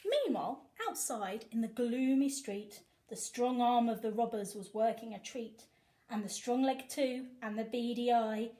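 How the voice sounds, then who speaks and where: A woman teacher reading a picture book aloud to young children, with animated, sing-song emphasis. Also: a little high, unhurried. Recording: echoey room.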